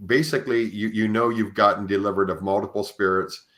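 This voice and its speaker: A man's voice: on the low side, fairly even intonation, fairly quick, a bit bright. A man hosting an interview podcast, talking conversationally in an earnest, explanatory way with repeated starts to his phrases. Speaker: podcast host. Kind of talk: conversational interview, sharing religious experiences.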